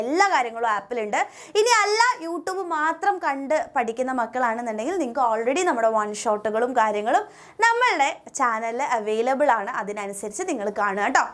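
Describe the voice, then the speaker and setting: A woman's voice: high voice, fairly bright tone. A woman with a Malayali accent teaching school pupils online, giving directive, coaxing instructions with frequent check-in tags.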